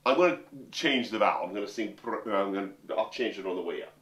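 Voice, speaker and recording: A man's voice: on the low side, expressive, quick pace, a bit bright. A middle-aged man, a vocal coach and opera singer, giving a chatty, joking lesson straight to his viewers from a room with a piano. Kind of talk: instructional talk to camera. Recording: roomy sound, mic at a distance.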